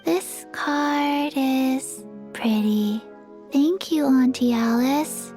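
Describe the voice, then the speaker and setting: A shy, placid little girl from a video game. Her small voice is high and childlike, and she talks extremely slowly, in simple, halting, polite phrases. Faint music sits underneath. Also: dark tone, full character voice. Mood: timid, placid.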